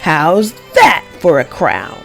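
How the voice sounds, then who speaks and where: Bullying storybook soldier read by a woman: scoffing, mocking, animated intonation. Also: fairly low pitch, slow, creaky, soft background music, heavily acted.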